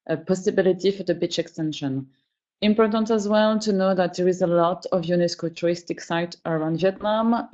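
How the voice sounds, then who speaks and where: A woman trainer from a travel company gives a webinar presentation in non-native English, often pausing and searching for words. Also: on the low side, dark tone, dull audio.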